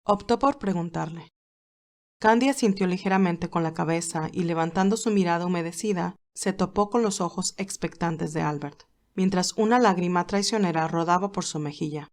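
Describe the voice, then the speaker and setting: A woman's voice: fairly low pitch, moderate pace, a little mellow. A woman narrator reading a scripted romantic story.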